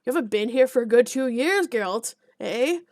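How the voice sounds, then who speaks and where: Podgy male alderman, put on by a woman, blustering, loud, high-pitched, dry sound. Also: expressive, moderate pace, no echo. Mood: hearty. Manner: blustering, shouting. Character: small podgy hot-tempered male village alderman.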